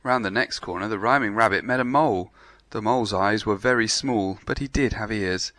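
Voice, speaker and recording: A man reading a children's picture book aloud in a measured storytelling manner. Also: on the low side, fairly bright tone. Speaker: storybook reader.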